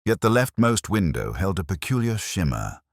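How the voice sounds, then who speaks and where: A very low-pitched man playing a brooding detective recounts a mystery in measured, literary phrases. His intonation moves freely up and down, and the sound is dry and close. He comes across as melancholy and observant, as in an audio drama. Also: big pitch swings, no room sound. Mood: brooding, reflective. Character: elderly reflective male police detective, melancholy and observant. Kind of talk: first-person scripted mystery narration.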